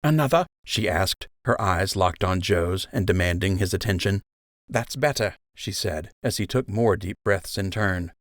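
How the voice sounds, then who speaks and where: A man's voice: low-pitched, fairly even intonation, normal speed, hardly any pauses, a bit bright, no echo, clean studio sound. A man reading fiction aloud as an audiobook narrator, in a dramatic storytelling style.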